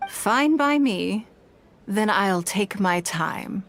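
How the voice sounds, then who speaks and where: A woman playing a shrewd, poised noblewoman of high office, speaking formally and with composure, in video game voice acting. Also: animated, very slow. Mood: coolly threatening.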